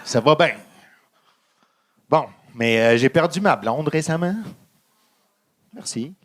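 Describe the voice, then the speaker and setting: A young man whose first language is English, with a Quebec French accent, delivering a halting, deadpan stand-up set full of dark jokes and ums. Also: fairly high pitch, slight background noise. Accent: English-speaking Quebecer speaking French. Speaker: stand-up comedian.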